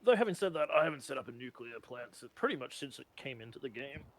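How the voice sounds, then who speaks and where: A relaxed man teasing and chatting casually while playing a game on a stream. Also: mid-range voice, fast. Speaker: streaming co-player. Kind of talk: casual gaming stream chat.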